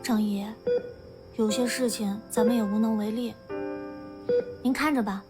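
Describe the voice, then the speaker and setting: Woman as young nurse, radio drama, brisk and matter-of-fact, somewhat high voice, music behind. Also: fairly even intonation, close to an everyday voice. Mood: businesslike.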